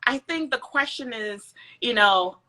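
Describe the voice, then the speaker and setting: A bright, clear-toned woman with a light Jamaican accent that slips into American speech. She talks in a chatty, storytelling way, full of small fillers, as a podcast guest. Her voice sits a little high. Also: animated, unhurried. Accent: light Jamaican, mixed with American. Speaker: event planner guest. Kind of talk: casual podcast interview.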